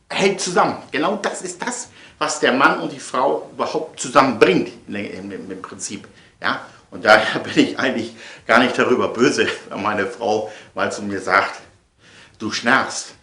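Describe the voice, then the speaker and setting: A man's voice: mid-range voice, normal speed, sharp tone. A middle-aged man giving a casual, rambling monologue to viewers, emphatic and theatrical, often repeating his phrases. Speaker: vlogger and audio play producer. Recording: echoey room.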